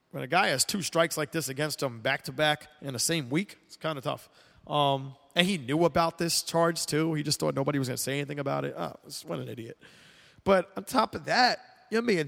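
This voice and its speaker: A man hosting a casual sports talk show, sounding congested, rambling and animated as he mocks sports fans. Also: a little high, gravelly, in a large hall. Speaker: podcast host. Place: air-conditioned room at home. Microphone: headphones with mic.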